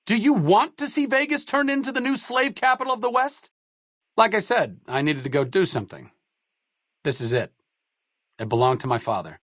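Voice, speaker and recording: A man's voice: a little high, fairly bright tone, no echo. A man playing a wry, educated, idealistic doctor-researcher in a post-apocalyptic video game, articulate and sardonic. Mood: indignant, then earnest. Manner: dry, articulate, sardonic, self-deprecating. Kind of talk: video game companion dialogue.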